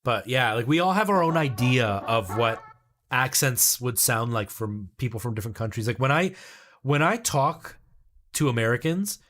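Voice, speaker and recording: A man's voice: mid-range voice, expressive, normal speed, fairly bright tone. A man from Canada with a generic Canadian English accent, speaking English as a second language and chatting casually as he streams a video game. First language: not English. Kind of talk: casual livestream chat.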